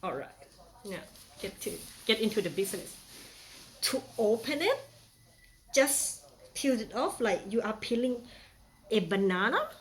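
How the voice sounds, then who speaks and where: A woman's voice: fairly high pitch, sing-song, measured pace, long pauses, a little hiss or hum. A young woman from Thailand with a Thai accent, chatting playfully through a casual how-to demonstration with many fillers. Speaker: vlogger.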